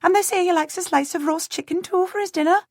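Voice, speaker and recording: A woman's voice: extremely high pitch, fast talker, a little mellow, dry recording, clean studio sound, pitched above the performer's own voice. A woman voicing a young goose girl with a strong rural way of talking, eager and chatty. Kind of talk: audiobook character voice.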